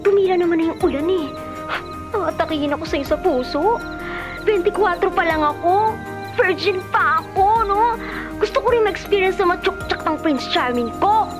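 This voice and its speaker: A woman playing an excitable, nagging best friend in her twenties, in an exclamatory, theatrical radio drama style. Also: extremely high pitch, moderate pace. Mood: frantic scolding. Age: 20s. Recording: music behind the voice. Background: music.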